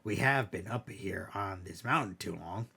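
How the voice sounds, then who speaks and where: A spiteful cowherd from a scary storybook, acted out by a man reading aloud. He speaks emphatically in a rough, forceful voice full of gravel. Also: low-pitched, moderate pace, full character voice. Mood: uneasy.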